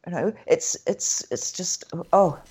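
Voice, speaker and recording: Halting woman ranting. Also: fairly low pitch, a little mellow, slightly rough. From United Kingdom. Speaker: panel guest. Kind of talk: casual panel discussion.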